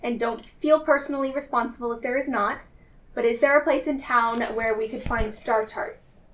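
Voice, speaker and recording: A woman with a slightly high voice roleplays at a game table in polite, measured phrases. She sounds a little away from the mic. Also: steady tone, recorded from a distance, low-quality recording. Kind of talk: in-character improvised roleplay.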